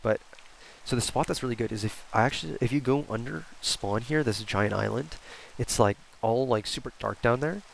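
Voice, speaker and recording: A man's voice: mid-range voice, normal speed, creaky, slight background noise, poor audio. A congested young man with a cold, chatting casually over his gameplay and rambling with fillers and restarts. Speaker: gaming YouTuber. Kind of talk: casual Let's Play commentary.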